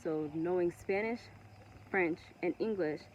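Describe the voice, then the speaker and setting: Earnest woman, low voice, lo-fi sound. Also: a little mellow, slight background noise. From Togo. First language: Ewe. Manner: earnest, passionate, with many pauses and restarts. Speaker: vlogger. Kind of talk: casual monologue to camera.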